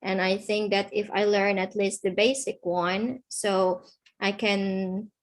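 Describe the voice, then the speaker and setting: A woman's voice: mid-range voice, fairly even intonation. A woman teaching an online lesson, speaking patiently and checking in with "okay?" after each point. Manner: slow, patient, repeats key words with "okay?".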